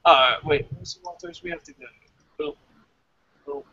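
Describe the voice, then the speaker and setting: A man with a high, very lively voice hosts a loose wrestling talk show. He jokes and curses as he reads comments aloud. The sound is close and dry, slightly muffled. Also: moderate pace, gravelly, slightly rough, dry recording. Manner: profane, joking, animated. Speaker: wrestling review show host. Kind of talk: casual wrestling talk, reading out listener comments.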